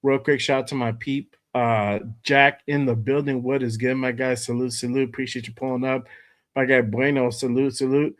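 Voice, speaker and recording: Hoarse dude with a cold, hyped and slangy, running a home sports stream. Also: male voice, mid-range voice, flat delivery, normal speed. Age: adult with kids. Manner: hyped, slangy, shout-outs to viewers. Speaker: host. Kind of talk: casual live-stream sports chat.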